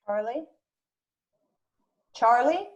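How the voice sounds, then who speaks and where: A woman as a protective small-town mother, highish voice. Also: lively intonation, extremely slow, muffled recording. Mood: worried. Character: protective small-town mother, discouraging her grown daughter. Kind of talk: screenplay table read.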